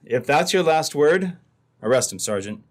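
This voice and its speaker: A man's voice: fairly high pitch, lively intonation, slow pace. Radio drama boss, male actor: stern yet lenient, firm, exasperated authority. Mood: weary, firm. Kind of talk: old-time radio drama acting.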